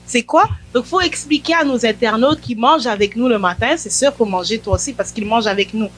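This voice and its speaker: A woman's voice: fairly high pitch, fairly quick, slight background noise. A woman hosting a morning faith talk show, inviting her guest and asking questions for viewers. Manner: warm, inviting, interrupts to clarify for viewers. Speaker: host.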